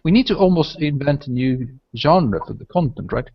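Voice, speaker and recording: A man's voice: on the high side, animated, moderate pace, husky. A man whose first language is Swedish hosts a casual online hangout, rambling and tagging his points with "right?" and "I mean". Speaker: host. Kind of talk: casual video hangout chat. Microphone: video call. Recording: lo-fi video call audio.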